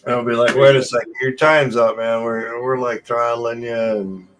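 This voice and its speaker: An American man chatting late at night over a video call. His talk is loose and joking, full of swearing and quick laughs. The tone stays relaxed and offhand. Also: medium pitch, a little hiss or hum. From United States. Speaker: guest on a live video call. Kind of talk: casual late-night chat.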